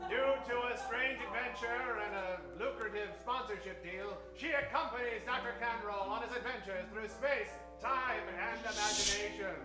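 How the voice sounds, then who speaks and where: High-voiced theatrical man announcing radio adventures. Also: normal speed, few pauses. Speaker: announcer and narrator. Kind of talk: old-time radio narration, improvised. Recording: echoey stage, distant mic, music behind.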